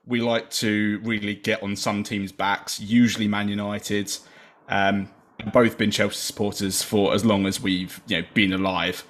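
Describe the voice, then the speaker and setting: Chatty man, low-pitched. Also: unhurried. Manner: chatty, lively, fillers like "you know". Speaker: podcast guest, fellow host. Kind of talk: casual football podcast chat.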